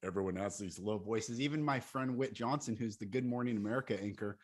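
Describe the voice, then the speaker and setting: A man in his thirties co-hosting a casual live-stream conversation over a video call, upbeat and animated, laughing easily. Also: lowish voice, a little fast. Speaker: co-host and coach.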